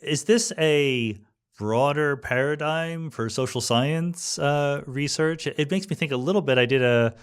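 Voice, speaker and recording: A man's voice: fairly high pitch, measured pace, clear, resonant tone. An American man hosting a podcast, asking questions in a thoughtful, informal, conversational way. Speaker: podcast host. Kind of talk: conversational interviewing.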